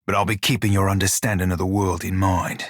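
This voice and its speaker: An actor playing a gruff, wry, loyal blue-skinned pirate shiphunter in a video game, with a husky voice and archaic, swaggering nautical dialect. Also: male voice, deep voice, level tone, brisk, no room sound, clean studio sound. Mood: reflective. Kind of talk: video game character voice lines.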